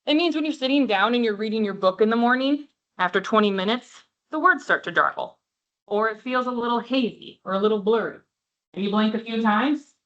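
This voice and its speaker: A woman eye doctor giving a passionate health presentation to an audience, with a big voice, speaking away from the microphone. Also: medium pitch. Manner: warm, passionate, clear explaining. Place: room with a live audience, talking away from the mic. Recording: dull lo-fi room recording.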